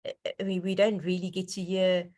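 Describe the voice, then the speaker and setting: A woman's voice: lowish voice, little pitch movement, fairly quick, a little mellow. A woman hosting a donor conference call, talking casually in an effusive, enthusiastic and appreciative way over an online connection.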